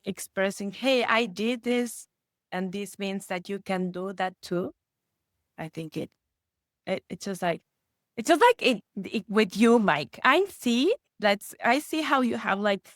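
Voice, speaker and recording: A woman talks earnestly as a podcast guest, restarting phrases as she works out her ideas in her third language. Her voice sits at a medium pitch, recorded close and dry with no room sound. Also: unhurried, no echo. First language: Spanish. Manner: earnest, with frequent restarts and rising excitement. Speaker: guest expert. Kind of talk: conversational podcast interview.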